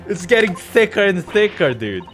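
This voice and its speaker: Male game streamer, casual and slangy, excitable delivery, faint music underneath. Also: high-pitched, moderate pace. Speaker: streamer playing a visual novel. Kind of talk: casual gaming commentary.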